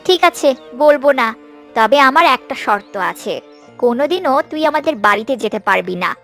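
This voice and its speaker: A cruel, scheming stepmother in a fairy-tale cartoon. Her voice is high, and she orders and scolds with greedy authority. Faint music plays underneath. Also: animated, a little fast, creaky, fairly bright tone, full character voice. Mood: gloating, cold. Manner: commanding, scolding.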